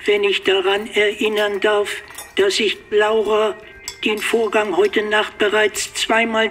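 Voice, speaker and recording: An actor playing a touchy, pedantic male robot in a radio play, speaking slowly and precisely. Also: sits high, fairly bright tone. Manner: pedantic and offended.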